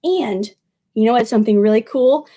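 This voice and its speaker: A woman speaking as a children's librarian at storytime, expressive and addressing young listeners directly. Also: fairly high pitch, normal speed. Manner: animated, expressive, with exclamations and questions to young listeners. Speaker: children's librarian reading aloud. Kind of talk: storytime picture-book reading and friendly address to children.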